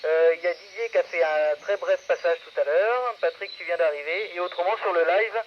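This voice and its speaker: A man casually hosting an amateur radio net, speaking in halting, hesitant phrases into a radio microphone. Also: high-pitched. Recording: muffled radio audio with background noise.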